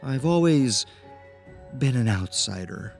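An actor playing a small, eccentric, socially awkward man who speaks in a formal, halting, ingratiating way in a horror audio drama. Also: mid-range voice, expressive, creaky, darkish tone, faint music behind. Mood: apologetic, pleading.

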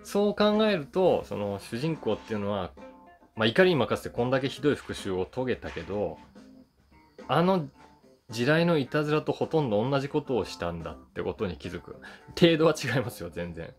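A man in his forties chatting casually through a movie review in an animated, conversational storytelling way. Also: medium pitch, brisk. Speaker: film reviewer, voice actor. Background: soft guitar music.

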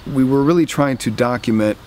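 A man's voice: medium pitch, brisk, a little mellow, a little hiss or hum. A man in his forties, a bandleader, talking thoughtfully about making a record in a studio. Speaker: bandleader and guitarist. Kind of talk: reflective interview talk. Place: recording studio control room. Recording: music playing under the voice.

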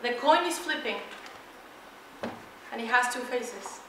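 A middle-aged woman from Mexico giving an energetic, emphatic motivational talk to students, laughing often as she walks around. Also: a little high, a little slow, background noise. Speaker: keynote speaker. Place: large lecture hall. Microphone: far room pickup. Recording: echoey hall from far off.

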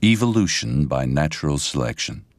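A man's voice: rumbling low, sing-song, moderate pace, a little hiss or hum. A man reading scripted narration for a documentary.

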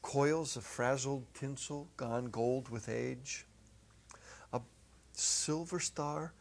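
A middle-aged man giving an expressive dramatic reading of a literary short story, with deliberate pauses. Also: mid-range voice, vocal fry. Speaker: narrator, reader. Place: indoor set, seated at a music stand. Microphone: clip-on lapel.

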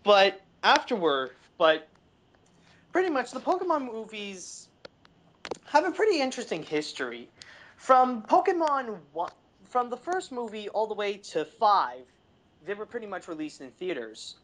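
Halting, slightly high-voiced man talking movies. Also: big pitch swings, a bit bright, a little hiss or hum. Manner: halting, searching for words, frequent "like". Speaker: podcast panelist. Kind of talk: casual film discussion.

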